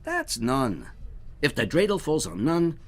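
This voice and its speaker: A man's voice: fairly high pitch, wildly swooping pitch, measured pace, voice pushed up, exaggerated voice. A man voicing a clever trickster in a children's storybook, breezy, slyly teasing and persuasive. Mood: instructive.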